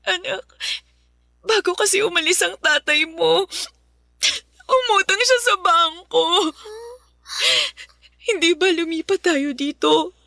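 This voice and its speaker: A woman's voice: very high voice, slow pace, vocal fry, pauses often, bright, forceful tone, no echo, clean studio sound, exaggerated voice. A woman playing a weary, heartbroken mother in a radio drama, tearful and anguished yet protective. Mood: tearful, apologetic. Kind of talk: Filipino radio drama acting.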